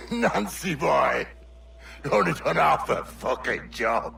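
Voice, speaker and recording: An actor playing a mad, vengeful, snarling pirate captain in a video game, menacing and taunting, with a gravelly voice. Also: male voice, fairly high pitch, pushed and bright, slight background noise, heavily acted. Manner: menacing, taunting, foul-mouthed. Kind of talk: video game cutscene acting. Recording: music behind the voice.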